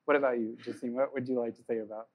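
A man's voice: mid-range voice, very fast, lo-fi sound. Man moderating a live panel. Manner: relaxed, meandering questions. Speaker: host, moderator.

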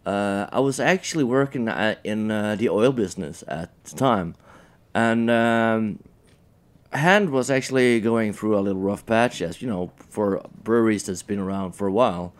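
Norwegian man, low joking voice. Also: normal speed. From Norway. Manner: joking, self-deprecating. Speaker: brewery sales manager guest. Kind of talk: casual interview chat.

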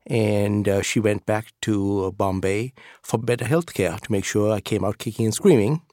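A middle-aged man from Sri Lanka, a professor answering interview questions in a relaxed, hesitant way with many fillers. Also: moderate pace, no room sound, clean studio sound. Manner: hesitant, many fillers, lively pitch jumps. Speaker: professor, guest.

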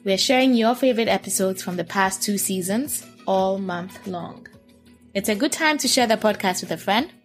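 A woman hosting a food podcast, reading a scripted intro smoothly and then chatting with her guest. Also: mid-range voice, moderate pace, soft background music. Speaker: podcast host. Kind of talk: scripted intro and casual interview.

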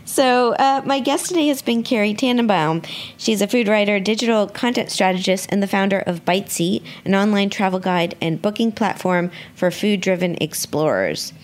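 A woman's voice: mid-range voice, normal speed. A woman hosting a podcast in a conversational interview style. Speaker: podcast host.

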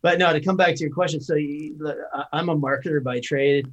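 A man's voice: a little high, fairly even intonation, a little fast, dull audio. A middle-aged man from Canada with a Canadian accent, a podcast guest explaining his views at length in an earnest way with frequent restarts. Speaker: guest, cannabis company founder. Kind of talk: casual podcast conversation.

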